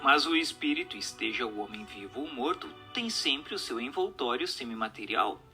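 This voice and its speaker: A man's voice: mid-range voice, very animated, moderate pace, sharp tone, soft background music. A man giving a formal scripted narration, reading from a book.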